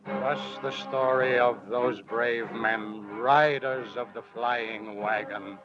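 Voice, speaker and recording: An actor narrating the closing legend of a 1949 radio drama in a slow, solemn, chant-like way. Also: male voice, mid-range voice, fairly even intonation, lightly acted. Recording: dull 1949 radio broadcast with music.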